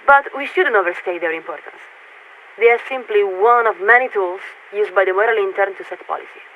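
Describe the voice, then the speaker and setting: A woman playing a polite, formal military radio signaler in a video game, speaking in a measured, patient, officious way through a radio filter. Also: mid-range voice. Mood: patient explaining. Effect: radio transmission filter. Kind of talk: scripted game voice acting. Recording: tinny narrow-band radio with static.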